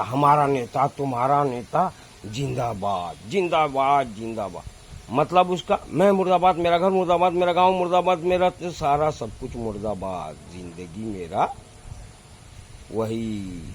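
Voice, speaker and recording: A man's voice: medium pitch, slight background noise. An Indian-accented man delivering an impassioned, unscripted monologue, scolding and sarcastic, with colloquial phrasing, repetition and rhetorical questions. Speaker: vlogger giving political commentary.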